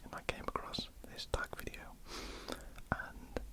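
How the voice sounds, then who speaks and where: A woman with a croaky voice, whispering ear to ear for an ASMR question-and-answer video. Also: a little slow. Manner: soft unvoiced whisper with frequent ums. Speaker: ASMR artist. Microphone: ear-to-ear stereo mic.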